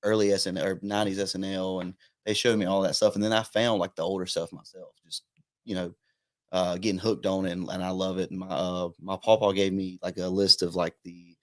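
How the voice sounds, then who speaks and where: Young male comic guest, twenties, lowish voice, laughs at his own stories. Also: flat delivery, brisk, a bit bright, dry recording, clean studio sound. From South Carolina. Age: 20s. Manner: self-deprecating, laughing storyteller. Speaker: guest comedian. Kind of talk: casual podcast chat.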